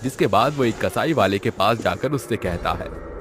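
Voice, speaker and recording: Low-voiced male cartoon narrator. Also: animated, normal speed, noisy background. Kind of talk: scripted storybook narration.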